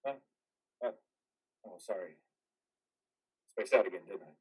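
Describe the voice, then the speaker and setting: A man's voice: deep voice, unhurried, breathy edge, far from the mic, full character voice. A man in a reader's theater drama playing a troubled, haunted army veteran, earnest and hesitant. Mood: weary, evasive.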